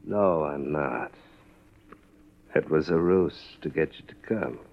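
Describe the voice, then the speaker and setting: A man's voice: rumbling low, slow, full character voice. An actor playing a deranged, menacing man who claims to be risen from the dead, with ominous theatrical delivery in a 1950s radio thriller. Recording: muffled old radio recording.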